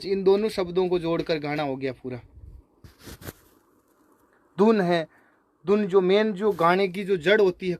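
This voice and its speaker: A man speaking informally to viewers, remonstrating in a halting, repetitive way. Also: high-pitched. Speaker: singer. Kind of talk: informal spoken video response to viewers.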